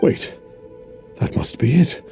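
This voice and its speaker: A man's voice: takes it slowly, music in the background, natural voice. A man playing a pompous, easily cowed fraud posing as an immortal in a video game, with theatrical, lofty archaic speech. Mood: pompous, indignant.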